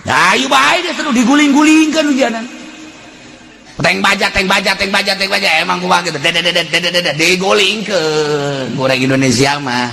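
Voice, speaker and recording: A man with a Sundanese accent preaching in an animated, earthy, joking storytelling style. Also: sits high, normal speed, creaky. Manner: animated, breaks into shouts and laughter. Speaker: Islamic preacher. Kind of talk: humorous storytelling sermon.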